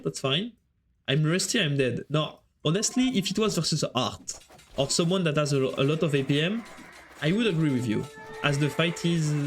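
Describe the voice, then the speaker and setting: French-accented man casting a strategy game. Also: a little high. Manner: chatty, answers chat while casting. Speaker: game caster and streamer. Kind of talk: live stream game commentary.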